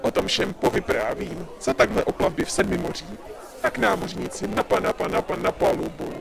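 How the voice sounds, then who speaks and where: A hearty pirate fox animatronic with a high, heavily gravelly voice. He performs like a stage showman in a horror cartoon, his words catching and repeating like a faulty machine. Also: very noisy. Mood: hearty, glitching. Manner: theatrical, stuttering like a broken machine. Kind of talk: animated horror fan dub.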